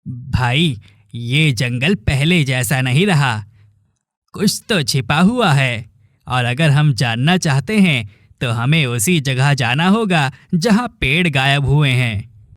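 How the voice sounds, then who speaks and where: Man playing a teasing, slow-talking young village buddy. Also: mid-range voice, expressive, fairly bright tone, no room sound, clean studio sound. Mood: alert, bold. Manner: familiar, teasing, emphatic. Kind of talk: dramatic cartoon dialogue.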